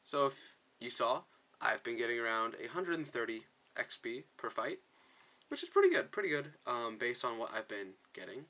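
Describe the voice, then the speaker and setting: A man with a very bright, forceful voice talks through his gameplay in a casual, off-the-cuff way. He is opinionated and readily exasperated, speaking at an ordinary pitch into a close mic. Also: very animated, normal speed. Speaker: gaming video creator, player. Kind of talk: casual game commentary with dialogue read aloud.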